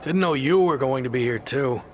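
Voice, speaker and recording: A man's voice: medium pitch, normal speed, slight background noise, lightly acted. A man playing a reluctant, easily annoyed young pro wrestler in video game cutscene dialogue.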